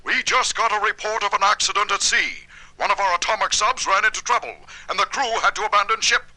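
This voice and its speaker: Sharp, high official on radio. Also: male voice, fast talker, low-quality recording. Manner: clipped, formal. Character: urgent, official government contact over radio. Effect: radio transmission. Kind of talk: cartoon adventure audio drama acting.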